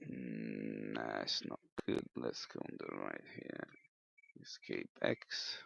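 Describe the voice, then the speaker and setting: A man giving an unscripted hands-on equipment demonstration as a hobbyist, thinking aloud in a hesitant, halting way. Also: extremely low pitch, vocal fry, a bit bright. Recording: dull, lo-fi, echoey room sound.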